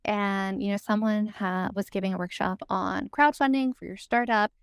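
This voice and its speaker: A woman's voice: mid-range voice, fairly even intonation, moderate pace, clean tone, dry recording, studio quality. A woman with an American accent telling her story conversationally in an interview, reflective and informal, often saying "like" and "you know". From United States. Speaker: podcast guest and co-founder.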